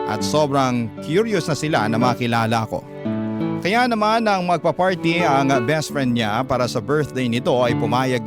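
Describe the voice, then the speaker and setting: Man narrating over loud guitar music. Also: mid-range voice. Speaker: radio show narrator reading a listener's story. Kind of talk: emotional scripted radio narration.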